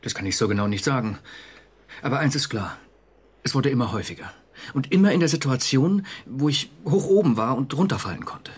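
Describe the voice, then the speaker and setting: An actor in a German radio drama playing an anxious, conscientious civil servant, confessional and agitated. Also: male voice, mid-range voice, lively intonation, fairly quick, slightly rough. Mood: haunted, confessing.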